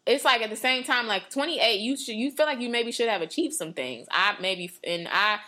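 A woman's voice: on the high side, lively intonation, normal speed, pushed and bright. A woman in her late twenties talking earnestly and at length about her faith on a podcast, with many fillers and restarts. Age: 20s. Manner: earnest, rambling, lots of "like". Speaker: podcast co-host. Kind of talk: casual faith talk.

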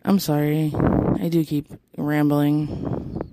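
A woman hosting a rambling solo podcast on her phone, sounding tired, with a raspy, deep voice and a chatty, playfully flirty way of talking, apologizing again and again. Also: flat delivery, takes it slowly, heavy background noise. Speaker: podcast host. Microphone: phone held close.

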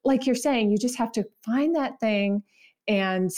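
A woman and former TV reporter hosting a casual panel, telling stories with energy and quick asides. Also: a little high, a little mellow.

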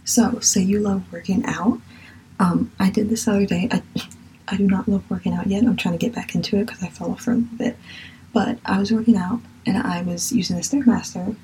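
Southern woman with a gravelly, mellow voice. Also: mid-range voice, steady tone, fairly quick, slight background noise, low-quality recording. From Virginia, US. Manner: chatty and candid, swears casually, laughs at herself, drops to a whisper at times. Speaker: podcast host. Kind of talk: casual spiritual chat.

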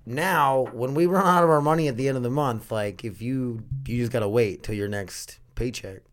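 A man's voice: mid-range voice, normal speed. A man hosting a casual podcast, rambling and swearing easily as he talks. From North Dakota. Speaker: podcast host.

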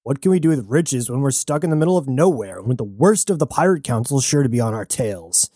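A narrator voicing an irritated male crewman who chides quickly. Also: mid-range voice, expressive, mellow, dry recording, clean studio sound, natural voice. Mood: exasperated. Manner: chiding, exasperated.

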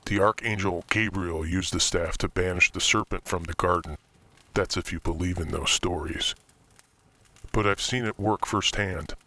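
An actor playing a brooding, theatrical occult collector, narrating a scripted horror tale in a measured way with dramatic pauses. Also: male voice, low-pitched, fairly even intonation, sharp tone. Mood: grave, mysterious. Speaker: narrator and main character of horror fiction podcast. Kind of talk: scripted first-person horror storytelling.

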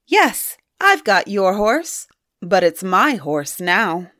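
A woman's voice: mid-range voice, sing-song, takes it slowly, sharp tone, dry recording, clean studio sound, full character voice. A woman voicing a bold, cheeky young boy in a storybook, gleeful and taunting.

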